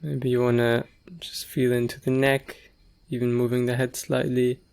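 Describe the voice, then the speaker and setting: A man leading a guided meditation, speaking gently and leaving long pauses between short phrases. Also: medium pitch, completely flat delivery, creaky, clean tone. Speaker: meditation teacher.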